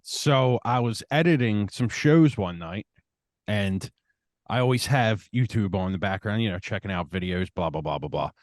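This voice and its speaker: A man's voice: fairly low pitch, animated, normal speed, no echo. Laid-back co-host man, dry close sound. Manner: laid-back, says "dude" and "man" a lot. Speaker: podcast co-host. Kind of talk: casual storytelling chat.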